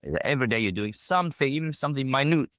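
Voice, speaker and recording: A man's voice: mid-range voice, lively intonation, very fast, fairly bright tone, no echo, studio quality. A British man in his thirties telling his story in a casual, emphatic, conversational way as a podcast guest. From United Kingdom.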